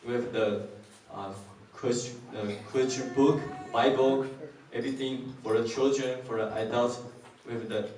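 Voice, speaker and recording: A man's voice: medium pitch, steady tone, darkish tone, soft background music. Guy in his 20s from taiwan talking to a church, stops and restarts a lot, really echoey hall, sounds far from the mic. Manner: halting, searching for words, simple phrasing. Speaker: ship crew volunteer. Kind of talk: church presentation.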